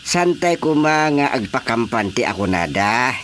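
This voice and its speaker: A man's voice: mid-range voice, big pitch swings, a little slow, fairly bright tone. A man playing an earnest, exasperated village chairman in an Ilocano Filipino radio drama, speaking emphatically. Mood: earnest, resigned. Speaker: voice actor. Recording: faint background noise.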